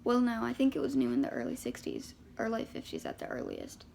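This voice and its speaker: A woman voicing a gruff, bashful middle-aged father in an audiobook reading, mumbling and halting. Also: on the high side, huge pitch swings, darkish tone, a little hiss or hum, heavily acted. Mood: sheepish. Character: gruff, sheepish, awkwardly kind middle-aged small-town father.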